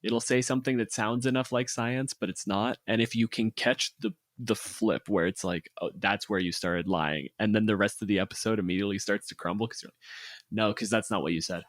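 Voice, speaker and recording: A man's voice: on the low side, steady tone, brisk, dry recording, studio quality. A man in a casual podcast chat, explaining his opinions in an opinionated way and swearing freely. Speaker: podcast host.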